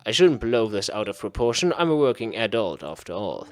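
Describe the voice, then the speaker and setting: A man's voice: fairly low pitch, big pitch swings, a little fast. A man narrating a visual novel aloud during a playthrough, with a dramatic read-aloud delivery. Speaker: gaming video creator.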